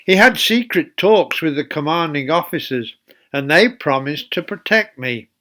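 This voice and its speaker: A man reading a children's Bible story in a deliberate, emphatic way, speaking directly to young listeners. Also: on the high side, lively intonation, a bit bright. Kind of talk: expressive children's Bible storytelling, then gentle address and prayer.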